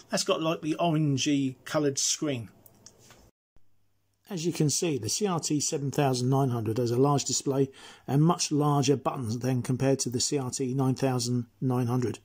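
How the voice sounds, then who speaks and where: A man's voice: mid-range voice, steady tone, moderate pace, darkish tone, breathy edge. A middle-aged man giving a casual, chatty, explanatory hands-on review as a presenter. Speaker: presenter reviewing radio gear.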